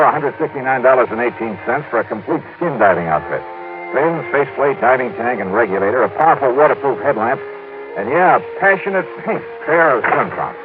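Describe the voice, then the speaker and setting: A man acting as a confident, wry investigator in a 1950s radio detective drama, with a brisk, clipped, hard-boiled delivery. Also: mid-range voice, natural voice. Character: confident, wry male insurance investigator narrating his own case. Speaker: lead actor and narrator. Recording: noisy, narrow-band old radio recording.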